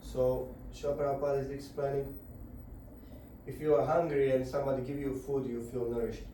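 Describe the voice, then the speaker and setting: A man giving a devotional scripture lecture to a group indoors, halting and searching for words as he explains. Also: medium pitch, little pitch movement, mellow, a little hiss or hum. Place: indoor gathering room. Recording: very echoey room, voice far off.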